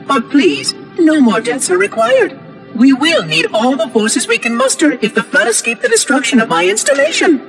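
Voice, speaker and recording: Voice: high-pitched, very animated, normal speed, gravelly, fairly bright tone, heavily acted. An actor voicing a prim, fussy ancient AI monitor in a video game, with pompous, precise diction and a synthetic edge. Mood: polite, brisk. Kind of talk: video game cutscene acting. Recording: music behind the voice.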